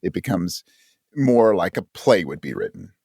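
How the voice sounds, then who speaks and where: A man's voice: deep voice, huge pitch swings, normal speed, creaky, dark tone, husky, no room sound, clean studio sound. A man giving thoughtful, reflective answers as an interview guest, searching for his words as he explains. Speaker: podcast guest, audio drama creator.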